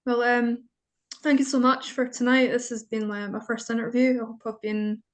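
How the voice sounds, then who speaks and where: A woman's voice: a little high, level tone, normal speed. A Scottish woman interviewing guests, asking questions hesitantly with many ums.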